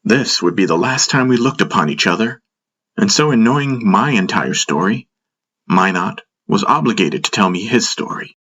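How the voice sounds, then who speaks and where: A man narrating a first-person fantasy audiobook in a measured, dramatic storytelling style. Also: fairly low pitch, lively intonation, fairly bright tone, husky.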